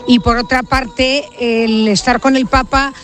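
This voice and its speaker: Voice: mid-range voice, fairly even intonation, measured pace, a bit bright. A person from Barcelona, Spain, organiser of a pilgrim group, giving casual explanatory answers in an outdoor interview. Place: outdoors in a meadow.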